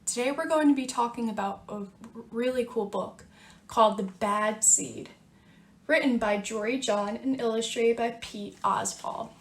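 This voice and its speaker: Female primary teacher for read-aloud lesson: unhurried, slightly high voice, question-led, echoey room. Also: slight background noise. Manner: simple, instructive, prompting with questions. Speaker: teacher reading aloud to students.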